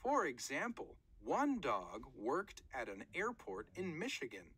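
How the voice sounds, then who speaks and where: A woman's voice: low-pitched, wildly swooping pitch, measured pace, a bit bright, slightly rough. A woman reading a children's nonfiction book aloud in short, careful phrases, like a read-aloud narrator.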